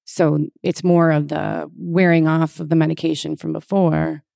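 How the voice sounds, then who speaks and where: A woman with a lowish voice hosts a casual interview as a physical therapist. She is chatty and encouraging, and she laughs easily. The sound is dry, with no room echo. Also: level tone, moderate pace, gravelly, mellow. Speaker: physical therapist and podcast host.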